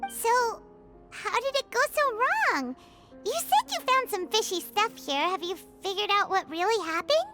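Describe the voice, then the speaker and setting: Squeaky, childlike little fairy companion. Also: female voice, expressive, moderate pace. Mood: curious. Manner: speaks of self in third person, inquisitive. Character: small childlike female fairy companion, cheeky and curious. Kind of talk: video game character acting. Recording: music behind the voice.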